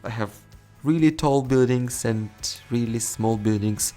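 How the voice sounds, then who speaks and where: A man's voice: mid-range voice, a little slow, warm tone, soft background music. A young man giving casual, rambling commentary over a city-building game, correcting himself and chuckling often. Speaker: let's play host.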